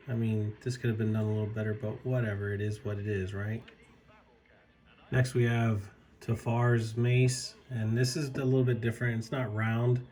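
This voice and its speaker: A man casually reviewing an action figure, his voice a bit raspy as he recovers from being ill, talking in a halting way with fillers and admiring asides. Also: fairly low pitch. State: slightly raspy from recovering illness. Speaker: toy reviewer.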